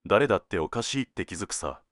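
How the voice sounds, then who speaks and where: A man playing a world-weary senior detective in an audio drama, laconic and deliberate, given to dry teasing jokes. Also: lowish voice, animated, no echo, close to an everyday voice. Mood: wry, businesslike. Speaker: police detective at headquarters.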